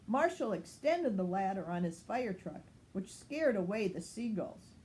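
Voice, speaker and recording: A woman's voice: medium pitch, very animated, a little slow, a little mellow, slight background noise, poor audio. An older woman, a grandmother, reading a children's storybook aloud.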